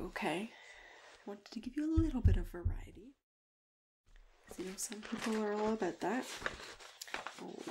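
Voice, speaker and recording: Casting: female ASMR role-player, gentle and hushed, half whispered, mid-range pitch, unhurried delivery with murmured acknowledgements. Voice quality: soft, often dropping into a whisper. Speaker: ASMR performer role-playing a matchmaker. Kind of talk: soft-spoken ASMR role-play chat. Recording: clear background noise with keyboard typing. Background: typing and clicking.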